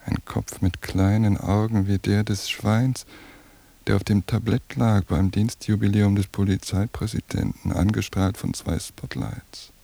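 An actor playing a cold, contemptuous surveillance watcher in a radio drama monologue, with a detached, deliberate delivery and a husky voice. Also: male voice, on the low side, dry recording, full character voice. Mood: cold disgust. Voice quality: gravelly, dark, husky. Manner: slow, flat, detached, deliberate. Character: cold, contemptuous male surveillance watcher describing his target.

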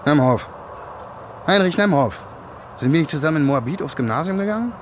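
An actor playing a weary, gruff police detective in a radio drama, terse and coarse-mouthed, used to giving orders. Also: male voice, a little high, expressive, fairly quick, slight background noise, natural voice. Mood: probing. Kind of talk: naturalistic German radio drama acting.

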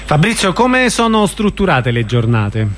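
A man's voice: a little high, big pitch swings, measured pace, a bit bright. A man interviewing a guest casually for radio.

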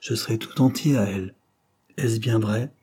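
Man playing an earnest, low-voiced young suitor. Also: normal speed, warm tone, husky. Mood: ardent. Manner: sincere, formal, deferential. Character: earnest, resolute young male suitor, respectful and ardent.

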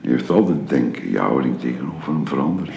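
A man's voice: very deep voice, expressive, quick pace, creaky, warm tone, slight background noise, exaggerated voice. An actor playing an earnest, protective middle-aged father in a radio drama, speaking in a solemn, paternal way. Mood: gentle, grave.

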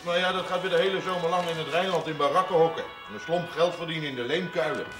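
An actor playing a wry, self-made village farmer in a television period drama, talking in a droll, folksy, deliberate way. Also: male voice, fairly high pitch, over music, close to an everyday voice.

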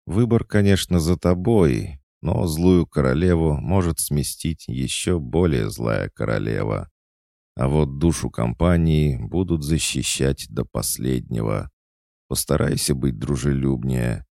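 A lonely old witch, as a man puts her on, sighing and sorrowful as she lectures. The voice sits a little higher than his own but keeps its dark, gravelly grain, recorded dry and close. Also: expressive, unhurried, no echo, heavily acted. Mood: rueful.

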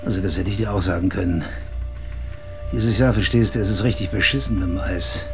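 Grumbling, low-voiced old sea dog. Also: male voice, brisk, over music. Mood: grumbling, scornful. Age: older. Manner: gruff, coarse, sardonic, emphatic. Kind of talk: radio drama acting.

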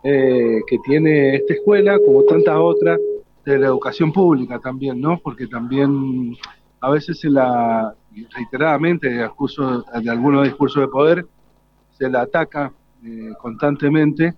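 A man's voice: mid-range voice, a little slow. A man hosting an unscripted live radio interview, hesitantly searching for his words. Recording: dull lo-fi live radio recording.

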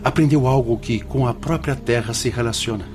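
An actor in a radio drama playing a serene, humble spirit guide, a man with a Brazilian accent speaking in a measured, formal, didactic manner. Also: mid-range voice, lightly acted. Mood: humble, solemn. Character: serene, humble elder-brother spirit guide, male, patient and firm. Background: music.

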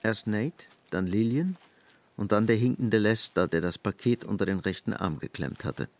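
A man's voice: fairly low pitch, lively intonation, moderate pace. A man narrating an audio drama as a scripted literary story. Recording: music bed behind the voice.

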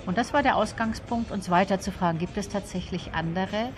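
A woman's voice: medium pitch, a little fast, noisy background. A woman guest in a radio interview, explaining things in a thoughtful, detailed way. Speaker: interview guest, literary event organiser.

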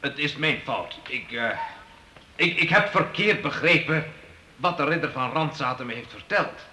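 An actor playing an apologetic, evasive knight in a Dutch radio play, halting and deferential before his lord. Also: fairly high pitch, lively intonation, pushed and bright. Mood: apologetic, submissive. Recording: echoey room, faintly noisy radio play.

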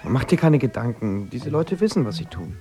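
A man's voice: mid-range voice, big pitch swings, moderate pace, dark tone, a little hiss or hum, natural voice. An actor playing a whining, complaining male companion in a radio drama. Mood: casual, coaxing. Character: sunburnt, complaining man, the wife's companion. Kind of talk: German radio drama acting.

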